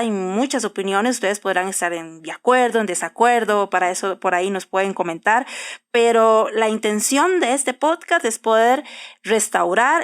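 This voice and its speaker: Costa rican lady cohosting a podcast, kinda high voice, earnest, lots of ums. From Costa Rica. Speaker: podcast co-host. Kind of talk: casual podcast chat.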